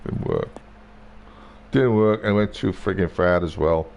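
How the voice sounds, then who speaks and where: A man's voice: low voice, measured pace, creaky, darkish tone. A man live-streaming a video game with casual commentary, grumbling, swearing and repeating himself.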